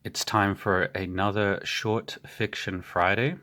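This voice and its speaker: A man with a cold reviewing short stories in a relaxed, plain-spoken way, with fillers and hedging asides. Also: fairly low pitch, takes it slowly. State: has a cold. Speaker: book reviewer. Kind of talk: casual book review monologue.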